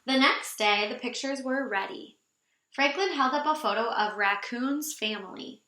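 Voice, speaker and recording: A woman reading a children's storybook aloud in an animated, expressive way for young listeners. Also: on the high side, normal speed, reverberant, poor audio.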